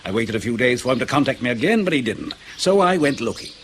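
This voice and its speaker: A male field agent in a radio drama, with a very mobile, medium-pitched voice. He is prickly and defensive, with clipped, emphatic phrasing. Faint outdoor ambience lies behind him. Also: big pitch swings, fast, fairly bright tone, slight background noise. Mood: irritated, emphatic. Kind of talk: naturalistic radio drama acting.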